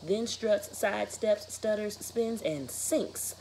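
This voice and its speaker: A woman's voice: medium pitch, slow, a little mellow, slight background noise. A woman in her thirties, a teacher reading a children's novel aloud and putting questions to students. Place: bedroom, indoors, sitting in bed.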